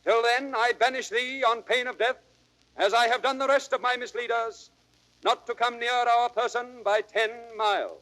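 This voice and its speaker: Stern young monarch, high-pitched, measured, cold and formal, vintage radio Shakespeare declamation. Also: male voice, fairly even intonation, bright, forceful tone, rough recording. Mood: stern, commanding. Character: newly crowned young king, stern and cold. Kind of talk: 1930s radio Shakespeare acting.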